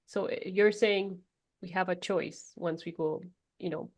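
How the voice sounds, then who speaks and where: A woman's voice: fairly low pitch, fairly even intonation, measured pace. A woman hosting a podcast, asking and following up in a conversational interview style. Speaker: podcast host.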